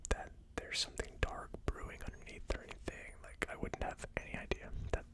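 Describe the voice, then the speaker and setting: An ASMR host whispering a tasting review in a hushed, unhurried, musing way. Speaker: host of a whiskey tasting channel.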